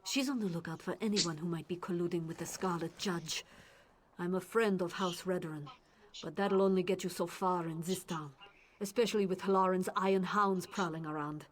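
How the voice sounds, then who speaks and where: A hushed, wary guard captain. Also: male voice, sits high, steady tone, normal speed, a little hiss or hum. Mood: hushed urgent. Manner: hushed, conspiratorial, urgent. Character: guarded middle-aged male town guard captain. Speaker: game character. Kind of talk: studio video game voice acting.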